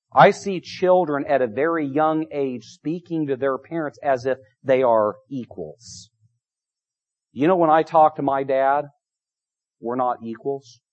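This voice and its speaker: A man's voice: medium pitch, darkish tone. A middle-aged man preaching a sermon, emphatic and repetitive, his volume rising and falling. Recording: dull lo-fi sound.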